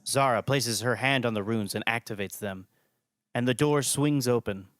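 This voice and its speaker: A man's voice: medium pitch, moderate pace, fairly bright tone, dry recording, studio quality. A man hosting and narrating a scripted audio drama podcast.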